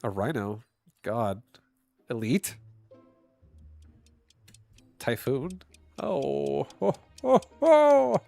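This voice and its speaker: A man with a stuffy nose giving casual live commentary while streaming a game, thinking out loud and now and then breaking into excited shouts. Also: medium pitch, drawn-out words, vocal fry, long pauses, soft background music.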